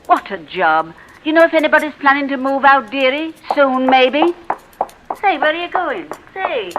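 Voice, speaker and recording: A woman's voice: high voice, expressive, normal speed, highs cut off, noisy background. A woman in 1940s radio drama style, playing a chatty, nosy woman hunting for an apartment who calls others dearie.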